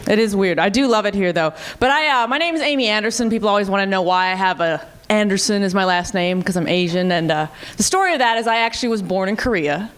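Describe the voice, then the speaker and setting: A woman's voice: medium pitch, fast, hardly any pauses, fairly bright tone, clean tone. A woman from the US Midwest with an American accent doing conversational stand-up comedy, repeating her punchlines. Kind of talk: stand-up comedy to a live audience.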